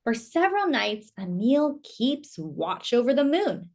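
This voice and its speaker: A woman storyteller with a fairly high voice reads a children's picture book. She takes her time, with lively, expressive intonation, and chats to young listeners with questions along the way. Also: unhurried.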